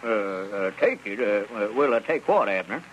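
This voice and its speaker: An actor playing a boastful, smooth-talking country squire in a radio comedy, pompous and given to hemming and showman talk. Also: on the low side, expressive, moderate pace, close to an everyday voice. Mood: puzzled. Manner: pompous, hesitant hemming, then grandiose showman. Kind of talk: 1930s-40s radio comedy acting. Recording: muffled, noisy vintage radio recording.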